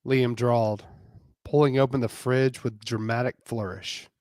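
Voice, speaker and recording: A man's voice: medium pitch, fairly even intonation, a little slow, a little mellow, dry recording, studio quality. A man narrating a romance story as a scripted dramatic reading.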